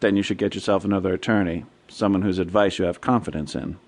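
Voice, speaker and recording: Fast-talking, low-voiced defense lawyer. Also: male voice, steady tone, darkish tone. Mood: firm. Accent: American. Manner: assertive, clipped, persuasive. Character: brisk, insistent male criminal defense lawyer. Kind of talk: radio drama acting.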